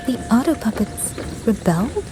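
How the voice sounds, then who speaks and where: A woman voicing an earnest, courteous young ranger in a video game, speaking in measured, formal phrases. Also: on the high side, very animated, very noisy. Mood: puzzled, concerned. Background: prominent game music.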